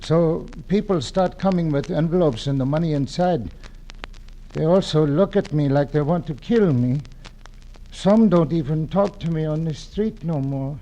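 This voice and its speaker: An actor playing an earnest middle-aged immigrant janitor who speaks broken English, in 1940s radio acting style. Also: male voice, on the high side, normal speed, dark tone, muffled recording, slight background noise, lightly acted. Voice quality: broken English, humble and eager.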